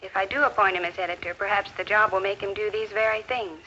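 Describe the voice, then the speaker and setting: Muffled 1950s film sound, faint noise, actress playing earnest female schoolteacher, caring, formal, deliberate. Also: medium pitch, steady tone, sharp tone, natural voice. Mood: pensive. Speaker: actor in an educational film. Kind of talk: 1950s educational film acting with inner-thought narration.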